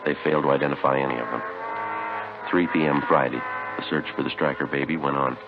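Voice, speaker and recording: An actor playing a deadpan, clipped police detective in a 1950s radio crime drama. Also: male voice, extremely low pitch, quick pace, over music. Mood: matter-of-fact. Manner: clipped, deadpan, matter-of-fact. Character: terse, deadpan homicide police detective narrating his case. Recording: muffled 1950s radio recording.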